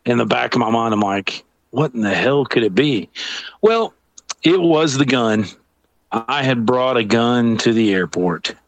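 A middle-aged man spins a comedic story at an unhurried, drawn-out pace. He breaks into voices for the people in his tale and laughs along as he goes. The sound is dry and close. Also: mid-range voice, no echo. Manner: animated, acts out other people's lines, laughs often. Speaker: storyteller guest.

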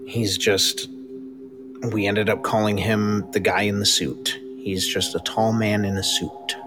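A man voicing a weary, guarded older woman survivor who answers in halting, hesitant bursts. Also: fairly low pitch, level tone, over music, lightly acted.

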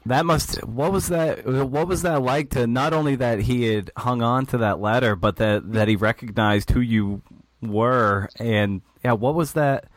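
A man's voice: mid-range voice, dry recording. A man hosting a podcast interview, asking long questions with many restarts. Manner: halting, many restarts and fillers. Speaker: podcast host.

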